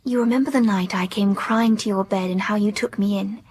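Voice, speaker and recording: A woman playing a poised, wilful young English gentlewoman in a period radio drama, speaking precisely and with self-assurance. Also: mid-range voice, steady tone, fairly quick, slightly rough, dry recording, close to an everyday voice. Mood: earnest.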